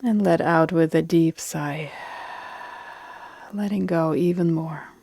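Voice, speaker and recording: A woman guiding a relaxation meditation in a calm, soothing, deliberate way with long pauses, her soft phrases trailing into breath. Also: deep voice, creaky, husky, no room sound. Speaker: yoga nidra meditation guide.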